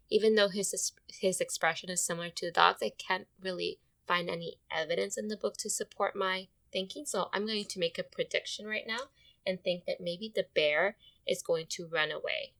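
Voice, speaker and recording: Bright-voiced woman teacher reading aloud. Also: mid-range voice, moderate pace. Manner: expressive, addresses young readers directly, repeats questions. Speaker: elementary school teacher. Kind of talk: children's read-aloud lesson with questions.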